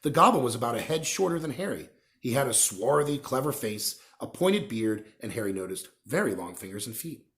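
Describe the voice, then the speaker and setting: A man reading a children's novel aloud as an expressive storyteller. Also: medium pitch, a little fast. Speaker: reader, storyteller.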